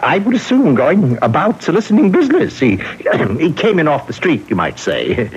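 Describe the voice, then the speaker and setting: An evasive, flustered businessman in a radio mystery. His stammering speech swings widely in pitch and keeps doubling back apologetically. The sound is a muffled old broadcast with faint hiss. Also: wildly swooping pitch, moderate pace. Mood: nervous, evasive. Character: nervous, evasive male business manager with something to hide. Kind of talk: old-time radio mystery drama acting.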